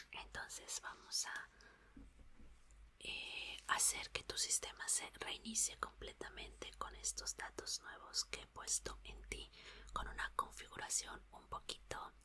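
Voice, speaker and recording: A woman doing an ASMR roleplay as a gentle, reassuring scientist, whispering soothingly and softly repeating her words. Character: gentle, reassuring female scientist repairing an android.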